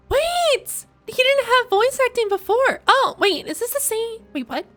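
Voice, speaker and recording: Super high voiced young woman streamer, playful slangy game reactions, bit of music behind. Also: animated, takes it slowly, a bit bright. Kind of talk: casual gaming reaction commentary.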